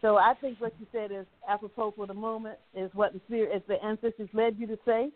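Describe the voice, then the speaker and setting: A woman's voice: medium pitch, flat delivery, fast, no echo. An older woman from Virginia, calling in and speaking in an encouraging, rambling way with many fillers. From Virginia, USA. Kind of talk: casual call-in chat. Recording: tinny phone line.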